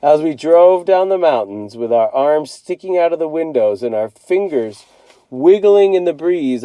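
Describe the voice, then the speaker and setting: A man reading a children's novel aloud as a teacher, in an expressive storytelling style. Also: mid-range voice, no room sound, dull audio.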